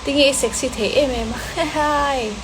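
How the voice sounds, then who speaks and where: Woman, higher-pitched, relaxed Q&A answers with lots of ums; constant background hiss. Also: fairly quick. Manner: relaxed, hesitant with fillers, mixing languages. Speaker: film actress answering fan questions. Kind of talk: casual question and answer.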